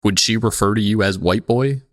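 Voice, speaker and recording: A man's voice: fairly low pitch, moderate pace, no echo, clean studio sound. A man co-hosting a casual podcast, joking and chiming in with playful, teasing banter. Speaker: podcast co-host.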